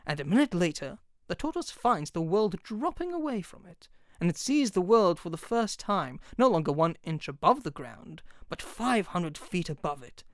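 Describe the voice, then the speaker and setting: Expressive male storyteller, very lively pitch. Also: normal speed, dry recording, clean studio sound. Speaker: voice actor.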